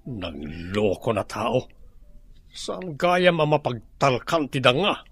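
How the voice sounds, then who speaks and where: A man playing a gruff, indignant father and master of the house in a radio drama, husky, with an Ilocano Filipino accent. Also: mid-range voice, big pitch swings, takes it slowly, a bit bright, faint music behind, heavily acted.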